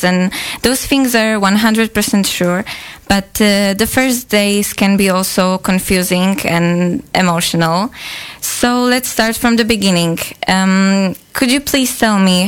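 A woman presents a talk show as its host. Her voice is clean and smooth at a middle pitch, and she shifts between prepared lines and casual chat. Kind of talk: scripted introduction and chatty hosting.

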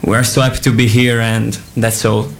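A young man chats as a student guest with an Italian accent. His voice sits at a middle pitch and his English is loose and rambling. There is faint noise behind him. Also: level tone, brisk. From Italy. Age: young adult. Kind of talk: casual, rambling chat in imperfect English.

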